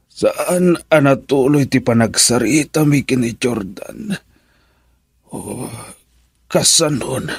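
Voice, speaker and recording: An actor with a Filipino accent playing a weakened middle-aged father in a radio drama, weary and husky. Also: mid-range voice, dry recording, studio quality, exaggerated voice.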